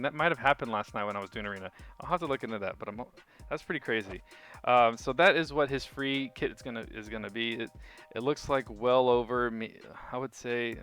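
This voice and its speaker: A man's voice: mid-range voice, fairly bright tone. A man giving a casual game guide commentary as a video host, chatty and informal, thinking aloud as he goes.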